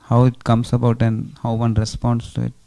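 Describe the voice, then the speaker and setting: A man offers a reflection in a group discussion. His voice is mellow, with plenty of fry, and stays nearly level in pitch throughout. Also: monotone, normal speed.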